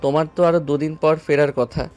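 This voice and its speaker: A male actor plays an exasperated young man in a romantic audio drama. He speaks in a slightly high male voice with a stern, reproachful, agitated edge born of worry. Soft music runs behind him. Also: level tone, brisk, lightly acted. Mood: puzzled. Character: worried, exasperated young man scolding a woman he cares about. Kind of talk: audio story dialogue acting. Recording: music under the voice. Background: background music.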